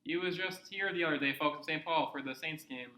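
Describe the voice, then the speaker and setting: Young guy cohosting a podcast, super bright higher voice, jokey and rambly, echoey room. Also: fast talker. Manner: rambling, joking, asks lots of questions. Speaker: podcast co-host. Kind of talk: casual chat.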